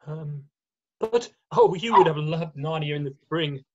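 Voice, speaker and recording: An amateur performer voicing a gentle, nervous male faun with a hesitant, apologetic manner in a screenplay readthrough. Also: medium pitch, lively intonation, measured pace, muffled recording. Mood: wistful, nostalgic. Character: nervous, guilt-ridden, gentle male faun, kindly host.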